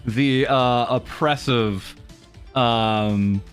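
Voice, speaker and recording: A man's voice: medium pitch, extremely slow, clean tone, soft background music. A man from the East Coast of the US who hosts a casual chat. He laughs readily, reads out donations and reflects in a conversational way. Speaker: podcast host.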